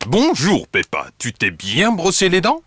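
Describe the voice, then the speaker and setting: A man's voice: sits high, wildly swooping pitch, measured pace, fairly bright tone, breathy edge, no echo, full character voice. A man voicing a jolly, kindly elephant dentist in a preschool cartoon, reassuring, with exaggerated intonation. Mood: friendly.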